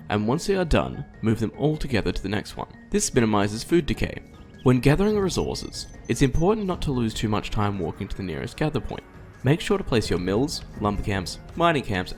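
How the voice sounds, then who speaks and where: Low-voiced man narrating a game tutorial. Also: darkish tone. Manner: clear step-by-step instructing. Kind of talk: scripted instructional narration.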